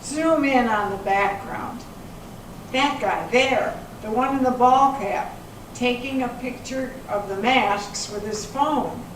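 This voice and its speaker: A woman reading the part of a matter-of-fact investigator in an amateur readers theater, deliberate and explanatory. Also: on the high side. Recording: echoey room from far off, clear noise.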